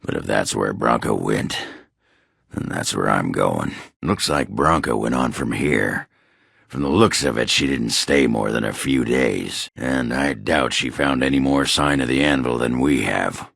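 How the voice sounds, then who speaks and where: Casting: gruff dwarf fighter, fantasy game. Bottomless pitch, heavy gravel, blunt and crude, grumbling delivery. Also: male voice, animated, unhurried, a bit bright, dry recording, clean studio sound, full character voice. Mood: grim, determined. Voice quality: very deep, gravelly, rough. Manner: blunt, salty, grumbling speech. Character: gruff, crude dwarf warrior searching for his lost love. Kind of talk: video game character dialogue.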